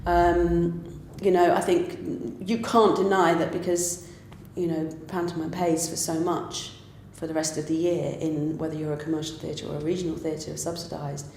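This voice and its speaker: A woman's voice: sits low, flat delivery, normal speed, darkish tone. A woman, a pantomime writer and director, giving her views in an earnest, emphatic way. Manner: earnest, emphatic, occasional laugh. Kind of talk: conversational discussion. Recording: echoey room with faint background noise.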